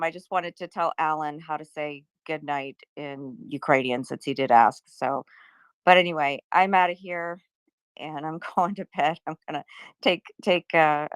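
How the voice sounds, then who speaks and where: A woman's voice: low-pitched, smooth, no room sound. A woman chatting casually on a live audio call, laughing easily and trailing off with fillers. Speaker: guest speaker.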